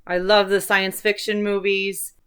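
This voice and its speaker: A woman's voice: medium pitch, flat delivery, unhurried, a bit bright, clear, resonant tone. A woman hosting an online video, talking in a casual, rambling, chatty way with informal enthusiasm for what interests her. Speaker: host of an online video channel.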